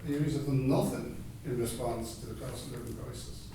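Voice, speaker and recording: A man's voice: mid-range voice, steady tone, brisk, dark tone, a little hiss or hum. A man speaking on a public panel, earnest and thinking aloud with frequent uhs and you-knows. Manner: earnest, reasoning aloud with fillers. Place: large meeting hall. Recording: echoey hall, distant mic.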